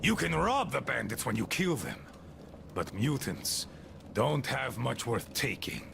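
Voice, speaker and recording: Game role: bitter, deranged crippled gang boss, heavily creaky voice, slow and swinging widely in pitch. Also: male voice, very animated, a little hiss or hum, full character voice. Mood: angry, scornful. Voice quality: gravelly, creaky. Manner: rambling, scornful, theatrical swings from muttering to shouting. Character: unhinged, bitter, abandoned crippled gang leader talking to his boys. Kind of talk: video game character acting.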